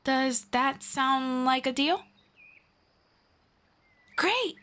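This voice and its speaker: A woman playing a friendly, knowledgeable elf in a scripted roleplay, speaking to the listener in a formal, measured, gently explanatory way. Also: high voice, heavily acted. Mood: friendly, instructive. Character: friendly, knowledgeable female elf guiding a human. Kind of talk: scripted roleplay audio, one-sided conversation with listener.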